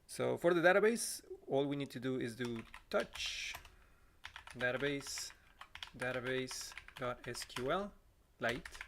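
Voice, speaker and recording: A man in his thirties from Costa Rica with a Spanish accent, walking through live code at home on a boom mic, apologetic and self-correcting, his throat a little scratchy. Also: expressive, a little slow, vocal fry, a bit bright. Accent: Costa Rican Spanish. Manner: apologetic, talks while typing, self-correcting. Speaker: livestream coding instructor. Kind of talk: live coding walkthrough. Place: small room at home. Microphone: boom mic on arm.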